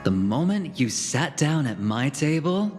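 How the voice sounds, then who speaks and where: A man's voice: on the high side, sing-song, a little slow, a little mellow. A man voicing a smug, self-assured gambler in a video game, with a theatrical, taunting delivery. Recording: long reverb, music underneath.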